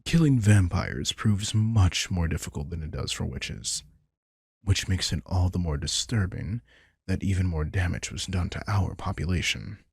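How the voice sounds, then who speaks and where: A man voicing a powerful, calm vampire king in an intimate roleplay audio drama, soft-spoken and conversational, with a husky, gravelly voice. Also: deep voice, moderate pace, dry recording, studio quality, heavily acted. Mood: serious, wry. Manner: soft-spoken, conversational, speaking to one listener. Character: powerful male vampire king, calm, soothing, teasing, protective.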